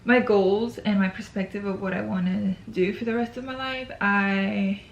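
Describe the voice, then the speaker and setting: Twenty-something woman vlogging to camera, lots of fry, kinda echoey room, chatty and hesitant. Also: medium pitch, steady tone, moderate pace, dull audio, slight background noise. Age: 20s. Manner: chatty, hesitant, restarts with ums. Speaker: YouTuber. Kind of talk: casual to-camera yearly check-in.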